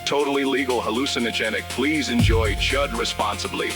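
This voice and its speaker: An actor playing a slick, upbeat announcer in a parody commercial, rattling off brisk disclaimers. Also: male voice, mid-range voice, loud music behind the voice, close to an everyday voice. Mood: mock cheerful.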